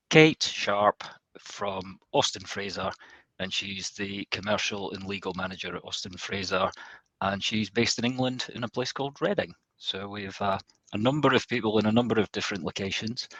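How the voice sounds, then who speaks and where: A man with a fairly low voice acts as a webinar host, speaking in a semi-formal, conversational way. The sound is very dry, with no room echo around him. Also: fairly bright tone.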